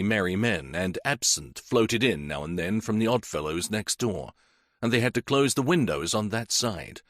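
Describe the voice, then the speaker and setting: A man reading a mystery novel aloud in a measured, even storytelling manner. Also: on the low side, dry recording, clean studio sound. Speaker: audiobook narrator. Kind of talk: scripted narration.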